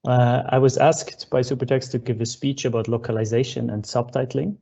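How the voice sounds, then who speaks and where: A man's voice: medium pitch, level tone, brisk, gravelly, dark tone, breathy edge. A young Swiss man giving a friendly conference keynote over a video call, with plenty of uh fillers. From Switzerland. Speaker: keynote speaker. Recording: dull lo-fi online call audio.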